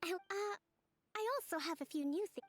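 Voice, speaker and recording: A woman voicing a composed young female island leader who speaks in a calm, measured and polite way. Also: very high voice, faint music behind. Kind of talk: video game voice acting.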